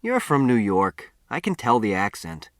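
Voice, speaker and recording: A man's voice: medium pitch, wildly swooping pitch, a little slow, no room sound, studio quality. A man voicing a cocky, mocking small-town American boy in an audiobook, with slangy, challenging delivery. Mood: teasing, probing.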